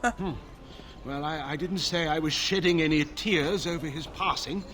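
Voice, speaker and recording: A man's voice: on the high side, moderate pace, a bit bright, close to an everyday voice. An actor playing a dry, disdainful gossiping nobleman in a fantasy video game, speaking in a formal but conversational way.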